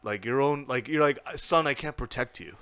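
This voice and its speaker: A young man talking as a podcast guest, animated and blunt, with lots of restarts and casual swearing. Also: medium pitch, normal speed, clear, resonant tone.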